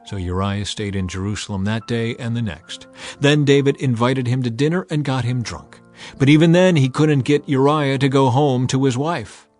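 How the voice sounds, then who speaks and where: A man giving a measured, solemn, dramatized narration of scripture as an audiobook reader. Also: medium pitch, a little mellow. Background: music.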